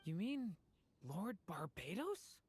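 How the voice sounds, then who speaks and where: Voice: mid-range voice, sing-song, slow, a little mellow. An actor playing a youthful, polite, slightly self-assured video game character in a composed, conversational way. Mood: composed, confident.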